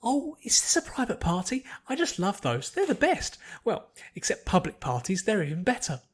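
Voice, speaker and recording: A man voicing a bubbly, hyperactive young female cartoon pony, gushing and rambling excitedly. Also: high voice, moderate pace, voice pushed up, full character voice. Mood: gushing, delighted. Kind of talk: fan fiction audiobook character voice.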